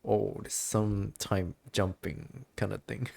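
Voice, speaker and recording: A man livestreaming a game, reacting casually and excitably and sometimes reading the text aloud. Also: fairly low pitch, takes it slowly, gravelly. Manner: excitable, giggly, stumbles when reading. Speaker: game streamer.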